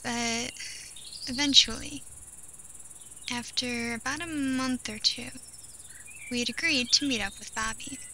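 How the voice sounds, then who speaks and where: A woman reading a scripted first-person horror story in a measured, deliberate way, pausing between phrases. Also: on the high side, steady tone, bright, forceful tone. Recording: clear background noise of nature ambience.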